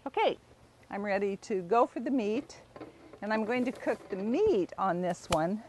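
A middle-aged woman giving a casual, unhurried cooking demonstration, explaining informally as she works outdoors. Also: medium pitch, animated, darkish tone, a little hiss or hum. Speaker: home cook demonstrating a recipe. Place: outdoors in a backyard garden.

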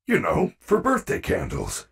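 A slow gravelly animatronic bear from a video game, sweet n earnest, super formal, little far from the mic. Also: male voice, high-pitched, wildly swooping pitch, heavily acted. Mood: helpful, friendly. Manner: formal, earnest, careful phrasing with few contractions. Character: gentle, earnest animatronic bear, a robotic stage performer.

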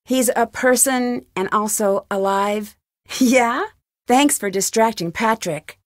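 An actress playing a young amateur detective in a video game, upbeat, caring and wry, with a friendly, lightly teasing delivery. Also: female voice, a little high, slow, dry recording, clean studio sound. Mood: wry, curious. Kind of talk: scripted video game voice acting.

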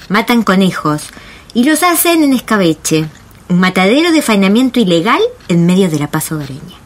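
Woman acting anxious Argentine neighbour, animated voice. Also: a little high. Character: anxious, indignant suburban woman spying on sinister neighbours. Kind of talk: radio drama monologue.

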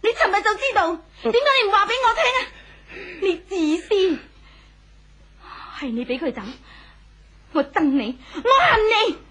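A woman's voice: extremely high pitch, expressive, moderate pace, long pauses, sharp tone, full character voice. A woman acting a lovesick, accusing young wife in a 1970s radio drama, wailing in Hong Kong Cantonese. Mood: tearful fury. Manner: wailing, accusing, emotional delivery.

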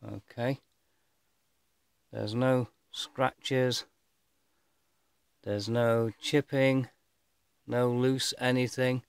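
A middle-aged man giving a casual unboxing commentary, rambling and thinking aloud with halting phrases as he films by hand indoors. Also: mid-range voice, flat delivery, gravelly, smooth, no room sound. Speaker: vlogger showing his own gear. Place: indoors, bedroom, filming over a bed. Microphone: handheld camera or phone held by the speaker.

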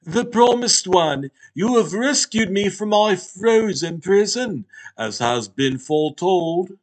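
A man's voice: sits high, animated, slow, pitched above the performer's own voice, heavily acted, rough recording. A man voicing a solemn, prophetic talking snack pastry, male, with a slight English accent and grand, archaic phrasing.

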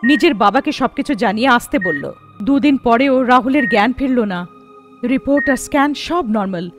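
A woman's voice: high voice, a little fast. A woman reading scripted horror story narration as the storyteller. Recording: music behind the voice.

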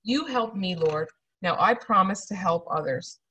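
Poor storybook man, read by a woman: humble and thankful, breathy, with expressive pitch. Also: a little slow, full character voice. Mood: relieved, solemn.

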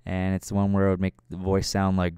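A man narrating a casual software tutorial in an informal, loosely worded, step-by-step way. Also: low voice, monotone, fast talker, smooth, no echo. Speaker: tutorial presenter.

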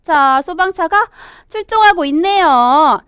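Very high, animated young woman teaching children. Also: takes it slowly, no echo, clean studio sound. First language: Korean. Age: young adult. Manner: animated, exaggerated teacher talk for small children. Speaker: English teacher and reading guide for children. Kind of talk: children's storybook English lesson, switching between two languages.

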